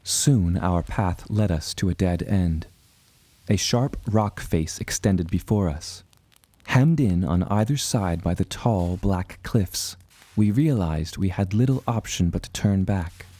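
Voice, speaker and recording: A low, mellow seafaring storyteller. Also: male voice, no room sound. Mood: solemn. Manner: measured, formal storytelling. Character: earnest seafaring adventurer man recounting his voyage. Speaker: narrator and protagonist. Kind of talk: scripted first-person adventure narration.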